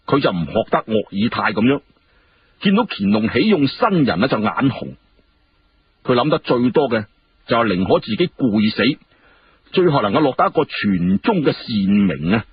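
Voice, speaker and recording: Male storyteller, colloquial and dramatic, very varied pitch, dull radio-like sound. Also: normal speed, breathy edge, no echo. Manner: animated, colloquial, conversational asides. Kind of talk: dramatic Cantonese audiobook storytelling.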